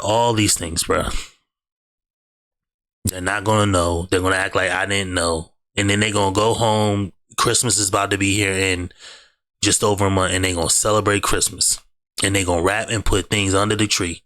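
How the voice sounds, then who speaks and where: A man's voice: low voice, creaky, a bit bright, no room sound. A man hosting a reaction video, giving blunt, slangy commentary on clips and repeating "bruh" a lot. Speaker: reaction host. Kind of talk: casual commentary over clips.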